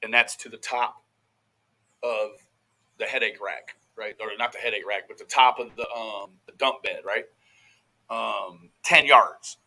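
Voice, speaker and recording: A man's voice: fairly low pitch, steady tone, a little slow, sharp tone, poor audio. A man giving casual, profane reaction commentary as host of a true crime show, in a brash, folksy, slangy way.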